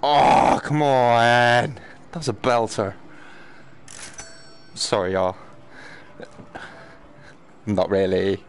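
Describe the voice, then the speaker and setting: A man's voice: mid-range voice, vocal fry, a little hiss or hum. A man giving casual live game commentary, slangy and sweary, muttering to himself and breaking into exclamations. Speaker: game streamer.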